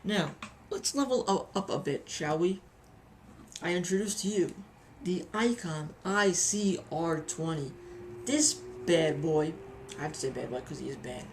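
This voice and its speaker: A woman's voice: deep voice, lively intonation, a little slow, a little hiss or hum. A woman giving a casual, chatty hobby review with anecdotes, often repeating phrases for emphasis.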